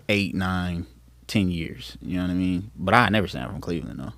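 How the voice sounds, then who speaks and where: A man's voice: deep voice, normal speed, a bit bright, no room sound. An American man from Akron, Ohio, chatting casually with friends as a podcast co-host. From Akron, Ohio, United States. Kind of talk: casual chat among friends.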